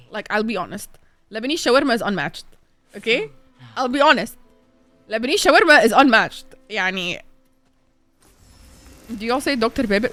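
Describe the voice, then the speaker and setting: A woman's voice: mid-range voice, no room sound. Chatty Egyptian woman streamer, swears a lot. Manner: chatty, excitable, swears freely. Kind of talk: casual chat while gaming.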